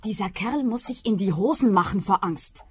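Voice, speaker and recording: A woman's voice: medium pitch, moderate pace, slightly rough. An actress playing a brazen, paranoid woman client in a radio play, insistent and snappy. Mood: anxious, insistent.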